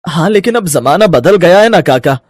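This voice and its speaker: An earnest poor village vendor, acted by a man, speaks in a high, very lively voice. He sounds pleading and a little husky, in dramatized storybook style. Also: moderate pace, dry recording. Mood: dejected, puzzled. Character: earnest, troubled poor mint seller. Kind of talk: Hindi moral story voice acting.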